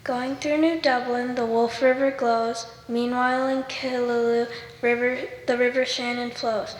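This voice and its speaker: A woman's voice: a little high, little pitch movement, unhurried, roomy sound, in a large hall, slight background noise, rough recording. A young female student reading her poem aloud on stage.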